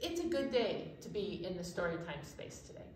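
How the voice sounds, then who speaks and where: A woman's voice: fairly low pitch, sing-song, a little fast. A middle-aged woman hosting a children's storytime, talking straight to young viewers with emphasis. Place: indoor library storytime set, seated. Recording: echoey room, far from the mic.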